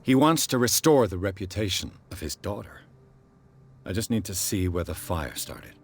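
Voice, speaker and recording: Low-voiced, persistent detective. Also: male voice, sing-song, a little hiss or hum. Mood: calm, probing. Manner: measured, probing, firm. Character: composed, persistent male private detective investigating. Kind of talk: video game cutscene acting.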